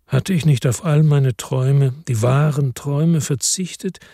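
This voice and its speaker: A man reading first-person literary narration in a measured, brooding, melancholic manner. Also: medium pitch, dark tone, dry recording, studio quality. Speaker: audiobook narrator.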